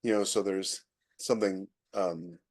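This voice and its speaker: Casual male podcaster, somewhat low voice. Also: fairly even intonation, unhurried, darkish tone. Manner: conversational, explanatory. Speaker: podcast co-host. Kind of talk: casual chat.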